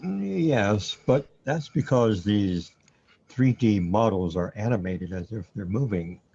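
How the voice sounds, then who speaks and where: Guy playing a calm sidekick explaining stuff in a radio play, slow and mellow, muffled recording. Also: fairly low pitch, gravelly, breathy edge, exaggerated voice. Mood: matter-of-fact explaining. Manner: matter-of-fact, explanatory. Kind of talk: amateur radio play acting. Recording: dull lo-fi audio.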